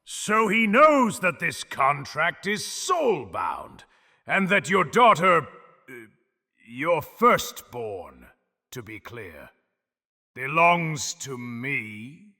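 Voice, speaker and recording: A man doing a high cackling goblin. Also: big pitch swings, drawn-out words, exaggerated voice. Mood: smug. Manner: giggles, teasing, turns to shouting. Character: sly cackling male goblin, greedy and mocking. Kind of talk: ASMR audio drama. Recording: long reverb with music underneath.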